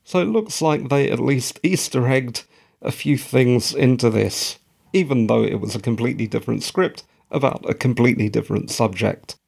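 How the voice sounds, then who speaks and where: A man hosting his own podcast, with a croaky, tired voice and rambling, self-mocking asides. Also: medium pitch, normal speed, darkish tone. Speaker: podcast host. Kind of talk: solo podcast recap monologue.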